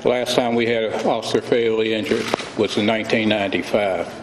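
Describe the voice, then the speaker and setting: An American man giving a formal police briefing as chief, speaking gravely and deliberately. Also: fairly low pitch, fairly even intonation. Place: press conference room. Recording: echoey room, clearly noisy audio.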